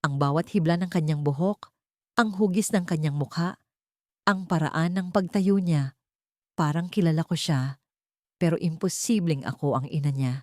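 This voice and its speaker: A woman's voice: low-pitched, moderate pace, long pauses, darkish tone, no room sound, studio quality. A woman reading a scripted first-person horror story in a dramatic, suspenseful manner.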